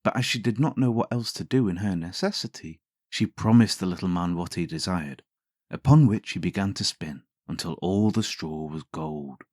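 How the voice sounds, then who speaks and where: A man's voice: low voice, mellow, no room sound, clean studio sound. A man narrating as a storyteller and host, theatrical and wry in his delivery. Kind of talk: dramatic fairytale narration with sardonic commentary.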